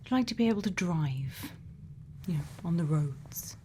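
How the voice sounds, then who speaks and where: A woman playing a quirky, gently probing scientist in a soft-spoken ASMR roleplay, murmuring unhurried questions and sometimes half whispering. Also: on the low side, lively intonation, a little hiss or hum, natural voice. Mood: calm, attentive. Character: quirky, gently probing female scientist programming a robot's memories.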